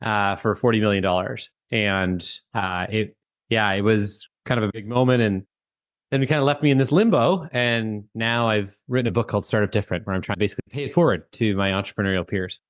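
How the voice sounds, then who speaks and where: A man with a Canadian accent talks casually in a lowish voice, full of fillers and quick restarts. The audio is dry and dull, like a remote call. He sounds like a founder in his thirties. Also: no room sound. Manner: fast, chatty, many fillers and restarts. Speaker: guest, startup founder and author. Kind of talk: casual interview conversation.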